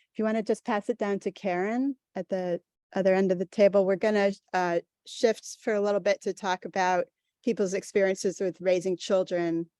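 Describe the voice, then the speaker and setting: A woman's voice: on the low side, dark tone, no echo, studio quality. A woman moderating a panel, politely telling speakers when to go and how to hold the mic.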